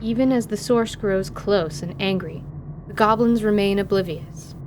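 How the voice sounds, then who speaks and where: A woman reading scripted narration for a fantasy audio drama in a measured storyteller's manner. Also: medium pitch, background noise.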